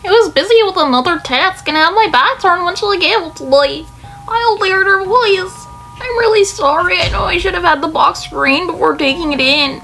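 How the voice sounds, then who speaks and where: A flustered employee in a story game, acted by a woman. The voice is pitched very high and sounds anxious and apologetic. Soft music sits behind it. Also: normal speed, exaggerated voice. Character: flustered, apologetic employee questioned about a package.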